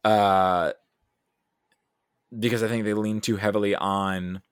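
A man talking casually about films as a podcast guest, thinking aloud in a halting, rambling way. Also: lowish voice, flat delivery, clean tone, dry recording, clean studio sound. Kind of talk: casual film discussion.

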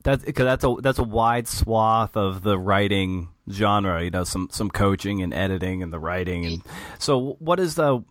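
A man's voice: fairly low pitch, moderate pace, no echo. A male podcast host asking long, hesitant questions in a casual interview, with many "you know" and "like" fillers.

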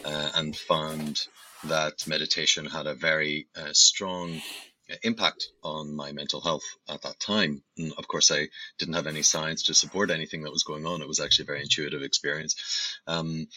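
Deep Northern Irish man, full of fillers. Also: flat delivery, normal speed, pushed and bright, low-quality recording. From Northern Ireland. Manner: hesitant, many fillers and restarts. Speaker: guest, company founder. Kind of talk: podcast interview.

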